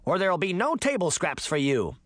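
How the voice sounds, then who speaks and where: Man playing a cocky, high-pitched trickster boy. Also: sing-song, a little fast, fairly bright tone, no room sound, clean studio sound. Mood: taunting. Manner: brash, taunting.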